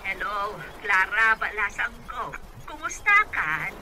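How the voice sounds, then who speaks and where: A woman's voice: mid-range voice, very animated, takes it slowly, noisy background. A woman playing an eager shopkeeper aunt talking to her niece in an Ilocano radio comedy drama. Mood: eager, coaxing.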